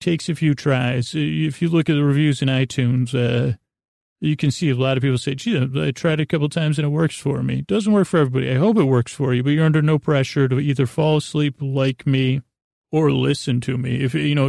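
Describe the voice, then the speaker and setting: A man hosting a bedtime podcast in a creaky voice, meandering through a goofy, self-deprecating monologue with halting, trailing sentences. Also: medium pitch, steady tone, darkish tone, no echo, clean studio sound. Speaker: podcast host.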